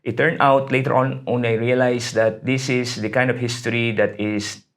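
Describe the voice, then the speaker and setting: A middle-aged man from the Philippines speaks as the guest in an interview. His pitch sits in an ordinary middle range. He talks thoughtfully but hesitantly, often repeating words and pausing on fillers before finishing a thought. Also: little pitch movement. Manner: hesitant, many fillers and restarts. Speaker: interview guest, history academic. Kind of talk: conversational interview.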